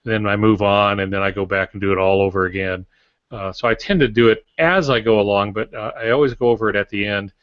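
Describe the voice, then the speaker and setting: An older American man with a low voice explains things in a casual, conversational way. He is recorded dry and slightly muffled over a video call, like a podcast co-host. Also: steady tone, fast talker, dry recording. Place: small indoor office with shelves. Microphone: video call, close to camera.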